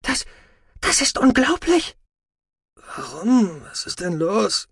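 A man puts on an anxious woman in an audiobook story, pitched well above his own voice. She sounds bewildered and alarmed, pleading with her brother, with very lively intonation. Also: unhurried, husky, no echo, studio quality, full character voice. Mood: astonished.

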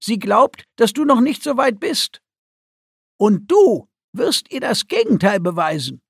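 A cheeky little creature, pitched very high. The mousebeaver talks with brisk, bossy emphasis, stern yet encouraging, in a dry, close audiobook recording. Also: male voice, very animated, gravelly, husky, dry recording, exaggerated voice. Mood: stern encouragement.

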